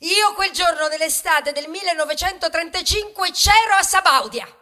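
An actress playing a chatty, bashful old grain of wheat in a stage monologue, hesitant and chiding as it addresses the audience. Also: female voice, very high voice, normal speed, bright, forceful tone. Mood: bashful, confiding.

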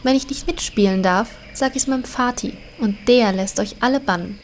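A woman's voice: a little high, normal speed, slight background noise, close to an everyday voice, rough recording. A woman playing a confident, bossy young elf mage in a comedic audio drama. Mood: spoiled. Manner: self-assured, haughty.

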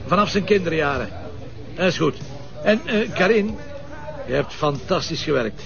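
An actor playing a confident, wry Flemish police inspector, brisk and conversational, in a naturalistic drama. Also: male voice, on the high side, expressive, vocal fry. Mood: brisk, pleased. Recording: dull lo-fi sound under music.

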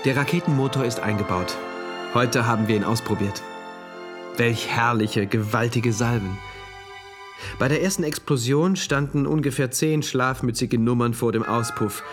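A man's voice: mid-range voice, moderate pace, over music, lightly acted. An actor playing an earnest engineer in a regimented future state, narrating his diary in a precise, introspective way for a radio play. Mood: measured, proud.

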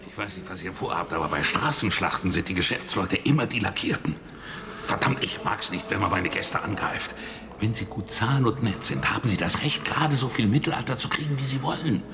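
Over clear background noise, a grumbling innkeeper talks in a radio drama. His voice is fairly low, indignant and conspiratorial. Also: expressive, fairly quick. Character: Peter, gruff, indignant innkeeper plotting vigilantly. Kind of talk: German radio drama acting. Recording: clearly noisy ambience.

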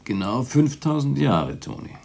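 An actor playing a middle-aged, scholarly and indecisive father in a radio drama, husky and hesitant. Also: medium pitch, very animated, measured pace, darkish tone, full character voice. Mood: calm, pedantic. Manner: hesitant, ponderous.

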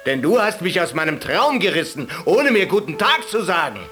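A man's voice: high-pitched, expressive, measured pace, music in the background, pitched above the performer's own voice. A man voicing an insolent shepherd who cheekily insults a ruler in a told tale. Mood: defiant insolence. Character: defiant insolent male shepherd.

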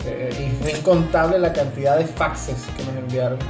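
A man chats as a podcast co-host, joking and wandering into explanations. His voice sits in an echoey room, with music clearly playing behind it. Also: mid-range voice, moderate pace. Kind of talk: casual humorous chat. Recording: roomy echo, music behind voice.

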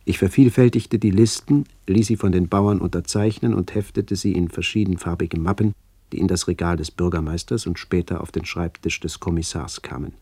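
A man playing an older narrator who recalls his village childhood in a radio play, measured and reflective, with a gravelly voice. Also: low-pitched, fairly even intonation, dark tone, no echo. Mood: somber, remembering. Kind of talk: literary first-person radio play narration.

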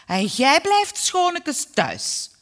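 Storybook role: domineering Flemish mother, done by a female narrator, high and forceful, scolding and scheming. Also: wildly swooping pitch, slow pace, exaggerated voice. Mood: threatening, scheming. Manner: bossy, firm, biting. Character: domineering working-class Flemish mother, shrill, commanding and scheming.

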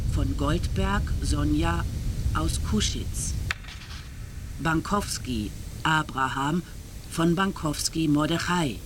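A man formally reading out a list as an announcer, in a deliberate manner. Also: fairly high pitch, background noise.

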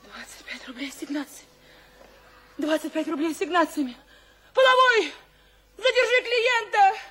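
An actress playing a wild, desperate young streetwalker, shrill and hysterical, in a theatrical audio play recorded from a distance. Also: female voice, fairly even intonation, moderate pace, pushed and bright, slight background noise. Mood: hysterical, wailing. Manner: shrill, hysterical cries and wailing.

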